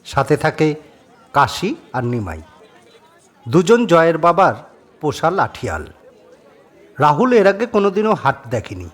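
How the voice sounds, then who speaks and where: A man's voice: fairly high pitch, sing-song, unhurried, pauses often, a faint crowd. A man narrating a scripted suspense story as a dramatic audio storyteller. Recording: clearly noisy background.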